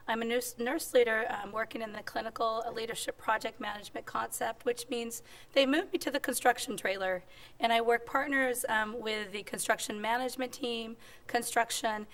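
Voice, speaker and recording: Woman presenter with a strained squeaky voice. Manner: upbeat, brisk, with frequent ums. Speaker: hospital executive presenter. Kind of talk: conference presentation. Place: conference room at a podium. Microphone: podium microphone.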